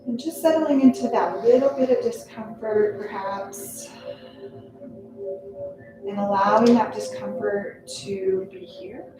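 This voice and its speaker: A woman guiding a meditation as a yoga nidra instructor, speaking gently and leaving unhurried pauses between phrases. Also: medium pitch. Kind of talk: guided meditation. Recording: echoey, distant sound with loud music. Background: loud music.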